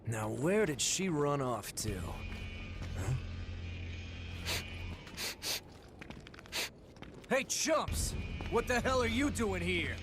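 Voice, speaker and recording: An actor playing a belligerent, bitter young thug in a dramatic video game cutscene, sneering and confrontational. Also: male voice, high voice, lively intonation, slow pace, music in the background. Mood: sneering menace.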